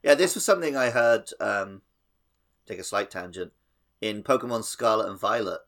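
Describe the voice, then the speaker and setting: A man's voice: lowish voice, moderate pace, a bit bright. A man hosting a podcast, talking casually about video games. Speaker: podcast host. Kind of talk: casual gaming podcast chat.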